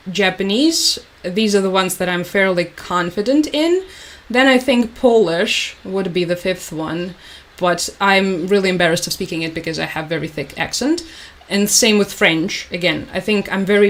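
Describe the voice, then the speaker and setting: Young woman rambling on a livestream, lots of uhs and likes, middle pitch. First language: Russian. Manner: chatty, rambling with frequent fillers and restarts. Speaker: livestream host. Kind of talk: casual livestream chat.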